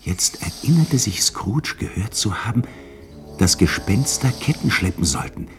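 Deep male narrator, dramatic storytelling like a 60s radio play, bit of music underneath. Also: expressive, measured pace. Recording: studio radio narration with faint music behind. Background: faint music.

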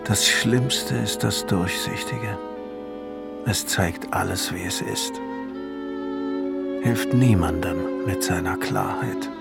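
An actor playing a bitter, brooding ruined court glassmaker in a German radio play, declaiming theatrically in poetic monologue. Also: male voice, sits low, slow pace, creaky, pauses often, exaggerated voice. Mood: broken, resigned. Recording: studio radio play with music underneath.

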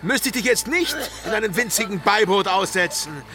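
An actor playing a firm, authoritative pirate quartermaster who leads a mutiny in a radio play. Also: male voice, high voice, expressive, normal speed. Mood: scornful.

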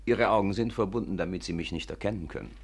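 An actor playing a cool, cautious crime boss in a 1950s radio drama, speaking in a dry, clipped, commanding way. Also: male voice, sits low, quick pace, breathy edge. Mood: cool, impatient.

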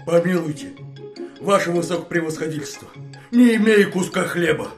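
An actor playing a hungry, desperate and stubborn war veteran captain in an audio play, pleading and insistent. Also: male voice, sits high, moderate pace, over music.

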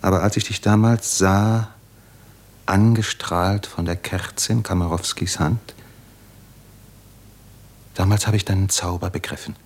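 Deep, husky poetic lover, radio drama. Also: male voice, steady tone, unhurried, pauses often, heavily acted. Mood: reverent, wistful. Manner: hushed, reverent, poetic. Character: tender, solemn poetic man, devoted secret lover. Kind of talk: literary German radio play acting.

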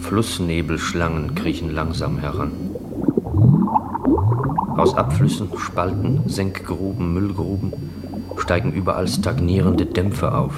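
An actor as the narrator of a German radio drama from the 1960s, delivering literary scene descriptions in a measured way. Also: male voice, deep voice, very noisy. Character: narrator describing a grotesque night town.

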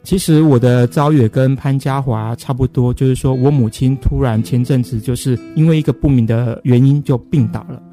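Man, Taiwanese accent, radio host, mellow tone, conversational questioning, soft guitar behind. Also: medium pitch, steady tone, brisk, hardly any pauses.

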